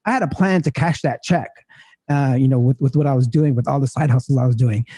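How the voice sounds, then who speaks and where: A man's voice: mid-range voice, fast talker, mellow, breathy edge, no room sound. A man in his thirties, a guest in a casual interview, talking in a rambling way full of fillers and restarts. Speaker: guest entrepreneur.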